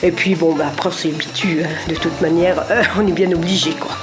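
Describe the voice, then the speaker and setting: A woman's voice: fairly low pitch, steady tone, brisk, music in the background, rough recording. An older woman from northern France, a longtime resident recounting her arrival in a plain-spoken, wry way. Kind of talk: documentary interview.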